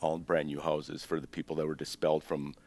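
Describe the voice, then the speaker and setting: Very deep-voiced man in his fifties. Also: brisk. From born in Greece, raised in the United States. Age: 50s. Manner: relaxed, rambling, with frequent ums and self-corrections. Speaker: restaurant owner, guest. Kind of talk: casual interview storytelling.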